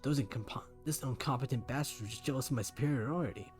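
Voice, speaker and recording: A man's voice: medium pitch, fast, music in the background, lightly acted. A man playing a bitter, sneering older scientist. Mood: furious. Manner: cold, sneering, explodes into shouting. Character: bitter older male scientist, cruel, jealous.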